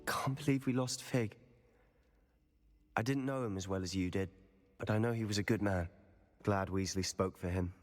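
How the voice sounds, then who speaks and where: An actor playing an intense, brooding young wizard student, speaking emotively in a video game drama. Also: male voice, lowish voice, normal speed, long pauses, natural voice. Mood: somber. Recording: reverberant hall, music behind.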